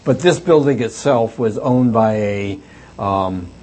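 A man's voice: on the low side, takes it slowly, a little mellow. Hesitant older man telling stories. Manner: reflective, hesitant storytelling with many fillers. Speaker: author and former reporter. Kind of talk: book talk with audience questions.

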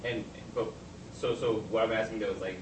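A man interviewing a guest, asking hesitant, halting questions full of restarts. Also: lowish voice, fairly even intonation. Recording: distant, echoey room with noise.

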